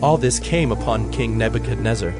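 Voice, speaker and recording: Male narrator with mid-pitched voice over music. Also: a little fast. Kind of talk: scripted scripture narration.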